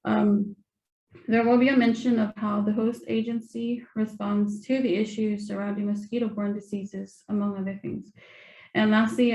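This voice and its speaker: Measured young woman from samoa, gravelly. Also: medium pitch, dark tone. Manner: careful, measured delivery with occasional ums. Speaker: graduate student presenter. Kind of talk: formal academic presentation. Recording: dull, roomy recording.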